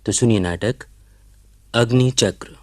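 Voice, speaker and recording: A man reading a formal, scripted announcement as a radio announcer, in a measured manner. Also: medium pitch, no echo.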